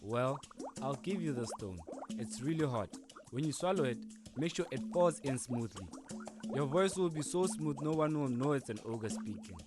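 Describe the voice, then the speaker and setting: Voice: mid-range voice, animated, moderate pace, close to an everyday voice. An actor voicing a witch doctor who patiently gives instructions. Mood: instructive. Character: witch doctor giving advice. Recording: music playing underneath. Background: music.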